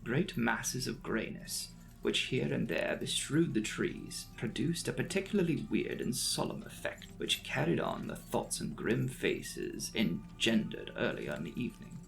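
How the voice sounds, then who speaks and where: A man's voice: mid-range voice, wildly swooping pitch, unhurried, gravelly, fairly bright tone, poor audio. A man giving a dramatic, theatrical audiobook reading of a classic gothic novel, telling it as a storyteller. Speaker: audiobook narrator. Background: background music.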